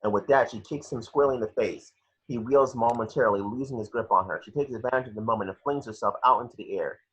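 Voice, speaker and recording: A man's voice: medium pitch, fast talker, fairly bright tone, slightly rough. A man reading screenplay action descriptions at a table read, stumbling a little over the script, on a lo-fi home recording.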